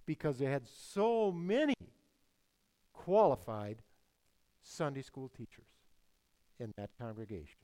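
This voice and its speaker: An older man preaching a sermon, stressing key words and repeating phrases, sometimes rising and then dropping to a hush. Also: mid-range voice, very animated, measured pace, pauses often, rough recording. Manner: emphatic, repeats key phrases, swings between loud and hushed. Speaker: pastor.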